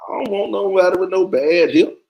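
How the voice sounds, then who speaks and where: A man's voice: high voice, fairly even intonation, a little fast, vocal fry, a little mellow, low-quality recording. A married man co-hosting a podcast, playful and laughing as he banters. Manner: playful, laughing, stretches for jokes with sudden jumps up. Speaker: podcast co-host.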